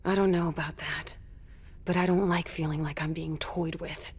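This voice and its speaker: A woman's voice: sits low, fairly even intonation, moderate pace, slightly rough, soft background music. A woman playing a troubled, wary mother who sees visions, guarded and weary in a video game cutscene.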